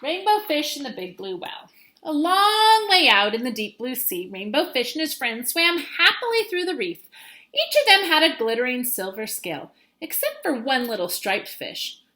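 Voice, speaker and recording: A woman's voice: a little high, very animated, moderate pace, bright, forceful tone. A woman teacher reading a children's storybook aloud, expressively and with dramatic emphasis.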